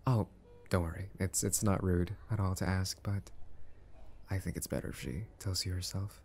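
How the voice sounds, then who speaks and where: A man acts out a young brother in a hospital audio roleplay. His voice is low, and he talks with gentle, halting politeness, kind but reserved. Faint ambience sits behind him. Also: fairly even intonation, slight background noise. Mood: polite, guarded. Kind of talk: ASMR roleplay acting, conversational.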